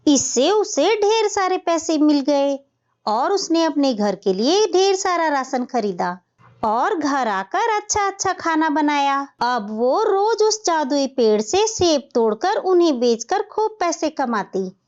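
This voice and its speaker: A woman's voice: sits high, sing-song, slow, darkish tone, highs cut off. A woman storyteller reading scripted narration for a children's cartoon tale.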